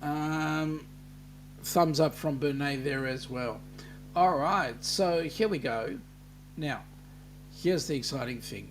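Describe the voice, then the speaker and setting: An Australian man chats through a live stream, taking his time and filling pauses with ums as he reads out comments. His voice sits a little on the high side for a man, and he speaks at a slow, easy pace. A faint electrical hum runs underneath. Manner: unhurried, chatty, many ums, reads comments aloud. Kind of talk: casual live-stream chat reading viewer comments.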